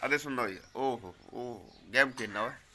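A middle-aged man, narrating a casual village vlog on a handheld phone in an outdoor courtyard and often calling out loudly to a child. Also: mid-range voice, measured pace, slight background noise. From Uttar Pradesh, India. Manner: loud calling out to a child, then relaxed explaining. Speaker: village vlogger.

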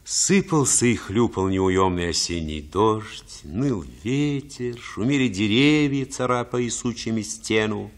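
A man's voice: fairly low pitch, animated, slow pace, few pauses. An actor playing a reflective man who narrates memories of his boyhood in a radio play. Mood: reflective, tender.

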